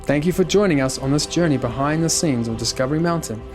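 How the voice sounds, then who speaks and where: A man's voice: on the high side, quick pace, music in the background. A man reading scripted narration as the host of a podcast.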